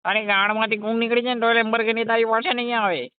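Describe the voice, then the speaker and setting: A man's voice: extremely high pitch, fairly even intonation, fast, clean tone, no echo. A man playing an exasperated, foul-mouthed garage mechanic, scolding impatiently in a scripted comedy phone call. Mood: irritated. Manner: scolding, impatient, swearing constantly. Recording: dull lo-fi phone call recording.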